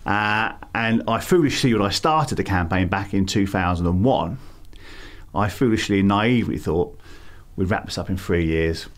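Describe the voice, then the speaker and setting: Low-pitched man, London accent, hesitant, fillers and restarts, middle-aged. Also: expressive, moderate pace. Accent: London English. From England. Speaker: campaign founder, guest. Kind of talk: interview answers.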